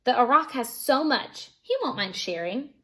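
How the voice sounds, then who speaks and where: A small, plucky boy hero from a storybook played by a woman reader. The voice is high, bold and exclamatory, with a kind streak. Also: lively intonation, normal speed, sharp tone. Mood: cheerful. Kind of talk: children's storybook read-aloud.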